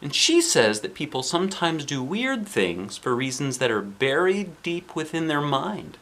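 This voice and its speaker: A man voicing a thoughtful, know-it-all young schoolgirl in a storybook, reasoning in a measured, earnest way. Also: fairly high pitch, sing-song, fairly bright tone, voice pushed up, full character voice. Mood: earnest concern.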